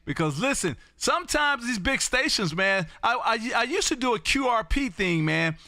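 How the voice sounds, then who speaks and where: An American man hosting a live amateur radio session, chatting and calling for contacts in an animated, folksy, booming way. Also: moderate pace, no room sound, studio quality.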